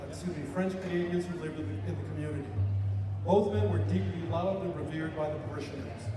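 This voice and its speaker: A man acting as master of ceremonies, reading award citations in a formal, measured way at a ceremony. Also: high voice. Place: large hall with an audience. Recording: echoey hall recorded from far off.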